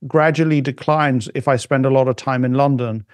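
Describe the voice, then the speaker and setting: A middle-aged man talking as a podcast guest in casual conversation, halting with frequent restarts and fillers. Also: medium pitch, fairly even intonation, no echo. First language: not German.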